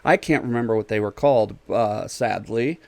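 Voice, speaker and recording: A man's voice: lowish voice, normal speed, vocal fry, darkish tone, no room sound. A man chatting casually as a podcast host, informal and a little hesitant. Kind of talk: casual chat.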